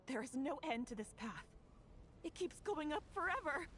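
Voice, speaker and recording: High-voiced, despairing woman survivor. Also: animated, normal speed, a bit bright. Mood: despairing, bitter. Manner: bitter, world-weary. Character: weary, despairing female fugitive survivor. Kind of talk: dramatic video game voice acting.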